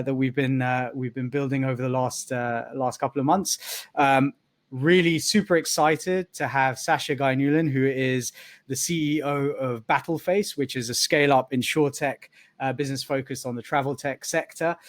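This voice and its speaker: A man's voice: medium pitch, dry recording. A man hosting an online interview session, rambling with many fillers and restarts. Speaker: host. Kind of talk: video call interview hosting.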